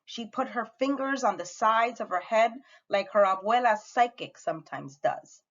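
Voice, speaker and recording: A woman reading a picture book aloud to children in an animated, expressive way, as a teacher does. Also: fairly high pitch, normal speed, dull audio. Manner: animated, acts out the dialogue.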